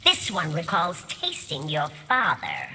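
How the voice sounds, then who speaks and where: A woman's voice: low voice, huge pitch swings, a little slow, heavy background noise, full character voice. An actress voicing a sly, sinister, taunting female villain in a video game, speaking with deliberation. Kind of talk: video game character dialogue. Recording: music behind the voice.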